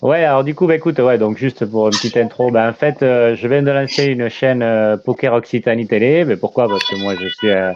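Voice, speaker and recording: A man's voice: medium pitch, brisk, few pauses, a little mellow, dull audio, a little hiss or hum. A man hosting a casual interview over a video call, talking hesitantly with many fillers. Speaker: interview host, channel creator.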